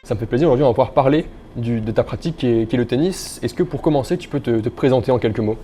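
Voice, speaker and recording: A man's voice: medium pitch, racing, music in the background. A young man hosting an interview in a casual, conversational style. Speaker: interviewer, host.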